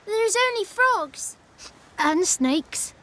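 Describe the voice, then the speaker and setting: A wary little village kid from a fantasy game, cheeky and blunt. The voice is very high and piercing, with slow, swooping rustic speech. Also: female voice, animated, bright, forceful tone, heavily acted. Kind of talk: video game voice acting.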